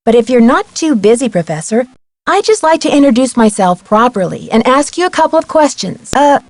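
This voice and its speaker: Young girl detective, game dialogue, highish voice, polite and full of questions, dry close sound. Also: big pitch swings, brisk, darkish tone, no room sound. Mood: polite, friendly. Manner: courteous, inquisitive, clearly enunciated. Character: polite, inquisitive young female amateur detective. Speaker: video game voice actor.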